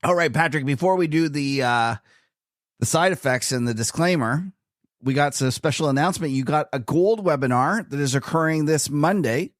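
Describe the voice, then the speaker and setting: Chatty Canadian podcast co-host, dry sound. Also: male voice, fairly high pitch, no room sound. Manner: chatty, enthusiastic, quick to laugh. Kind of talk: casual podcast chat and interview.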